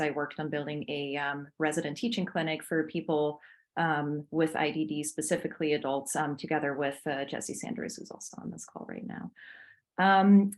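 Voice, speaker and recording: A woman in her thirties from Switzerland, giving a friendly webinar presentation over a video call with frequent fillers. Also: fairly low pitch. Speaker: pediatric neurology fellow presenting.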